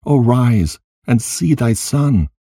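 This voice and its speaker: A man's voice: mid-range voice, animated, slow, dark tone, breathy edge, no echo, studio quality, pitched above the performer's own voice, heavily acted. A man reading scripture dramatically as an anguished young son, crying out and imploring in mourning. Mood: anguished pleading. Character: anguished young son pleading at his mother's grave.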